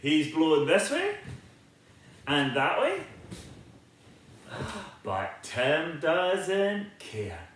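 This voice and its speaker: Very echoey, animated male storybook reader. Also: fairly high pitch, very slow, long pauses. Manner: theatrical, sing-song, inviting children to join in. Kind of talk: animated children's picture-book read-aloud. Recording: very echoey, reverberant room.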